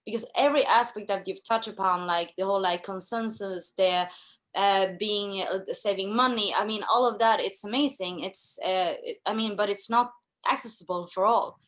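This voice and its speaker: A woman from Chile asking a question from the audience, speaking haltingly with many fillers. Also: mid-range voice, a bit bright. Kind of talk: conversational question.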